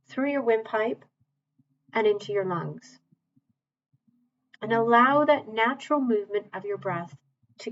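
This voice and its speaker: A woman teaching mindfulness, leading a guided body scan in a calm, measured, gentle way with long pauses. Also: mid-range voice, muffled recording.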